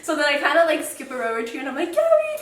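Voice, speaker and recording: A woman's voice: high voice, very fast. Bubbly young woman hosting, roomy sound. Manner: bubbly, laughs often, animated storytelling. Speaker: podcast host. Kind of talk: casual interview chat. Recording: roomy echo, mic at a distance, faint noise.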